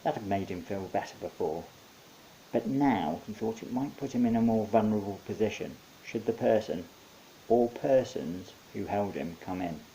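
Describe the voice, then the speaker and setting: A man's voice: lowish voice, animated, moderate pace, dark tone, poor audio. A man narrating his own short story in a wry, conversational storytelling manner. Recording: faint background hiss.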